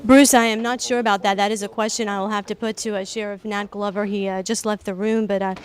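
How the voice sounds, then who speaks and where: A woman's voice: mid-range voice, flat delivery, fast. An American woman giving a live field news report, with some hesitations as she speaks.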